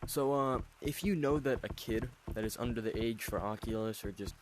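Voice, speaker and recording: A thirteen-year-old boy with an unusually deep voice, hosting a casual interview with fillers and long rambling questions. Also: moderate pace, noisy background. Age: 13, teenage boy. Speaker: host interviewing players.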